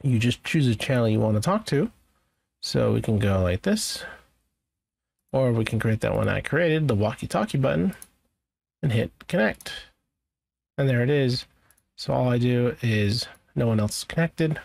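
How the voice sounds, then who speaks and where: A man presenting a software tutorial in a casual, informal way, thinking aloud as he goes. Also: mid-range voice, expressive, moderate pace, vocal fry, long pauses, no room sound. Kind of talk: casual screen-share software walkthrough.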